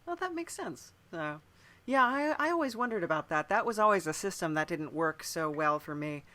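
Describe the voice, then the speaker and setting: A man's voice: high voice, animated, normal speed, sharp tone. A man working as a podcast interviewer, chatting casually in a musing, discursive way.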